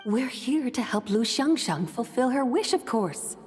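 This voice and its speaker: A woman playing a composed, wry immortal woman who speaks formally and measuredly, gently teasing, in an anime game. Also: on the high side, animated, a little slow, faint music behind. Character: composed, wry female immortal adeptus with sly wisdom.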